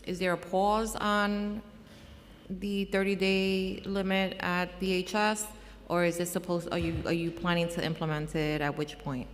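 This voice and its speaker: A woman chairing a council hearing, her voice slightly scratchy and on the low side. She talks casually, stopping and restarting her questions. The sound carries a long chamber reverb over faint room noise. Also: flat delivery. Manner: casual, halting, with restarts and fillers.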